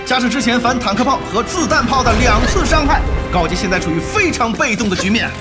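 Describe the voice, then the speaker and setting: A strikingly high male voice, an excitable esports match commentator. He calls the action play by play with emphatic, stretched exclamations, over loud music. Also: fast talker. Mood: thrilled. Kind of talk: play-by-play commentary in audio drama.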